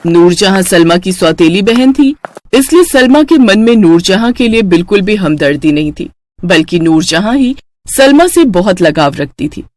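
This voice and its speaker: A woman's voice: mid-range voice, animated, moderate pace, a little mellow, no room sound. A woman narrating a scripted moral story for children.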